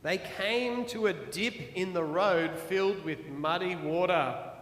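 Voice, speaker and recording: A man reading a children's parable aloud to listeners in an animated, dramatic storytelling manner. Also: sits high, takes it slowly. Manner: dramatic, expressive character voices. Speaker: author reading his own children's parable. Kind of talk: animated storytelling to a church audience. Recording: echoey hall, long reverb.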